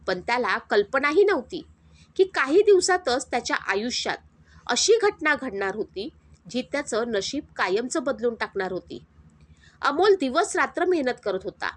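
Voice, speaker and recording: A woman narrating a moral story in a steady, dramatic storytelling manner. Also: fairly high pitch.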